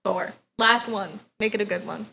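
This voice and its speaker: A woman's voice: medium pitch, normal speed, gravelly, pushed and bright, a little hiss or hum. A woman judging a podcast game in casual chat, playfully strict, with short encouraging prompts.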